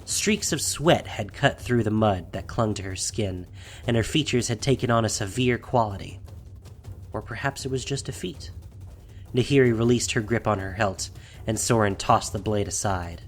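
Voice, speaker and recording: A man's voice: lowish voice, moderate pace. A man reading scripted fantasy fiction as the narrator of an audio drama, in a dramatic storytelling style. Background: faint music.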